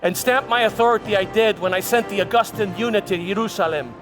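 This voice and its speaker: Man acting proud Roman governor, exasperated, high male voice, halting storytelling, theatrical monologue, long hall reverb. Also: natural voice. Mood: defiant, exasperated. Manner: halting storyteller, repeating words for emphasis. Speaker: church dramatist performing a monologue. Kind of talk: theatrical first-person dramatic monologue. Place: church hall before an audience. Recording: echoey church hall, music behind.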